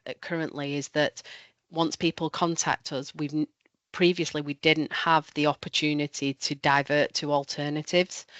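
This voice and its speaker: A woman with a cold gives a measured talk over a video call, slightly nasal, with frequent ums and restarts. Her voice is smooth and fairly high for a man's range, though its pitch barely moves. The audio is dry and a bit dull and lo-fi. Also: a bit bright, no echo. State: has a cold. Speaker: council presenter. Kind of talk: webinar presentation and Q&A.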